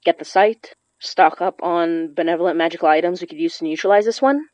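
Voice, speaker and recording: A woman's voice: lowish voice, brisk, darkish tone, no room sound, highs cut off, close to an everyday voice. Actress as a dry, weary young witch. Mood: defensive, weary. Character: tense, tired young woman healer-witch, wry and protective. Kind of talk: naturalistic audio drama acting.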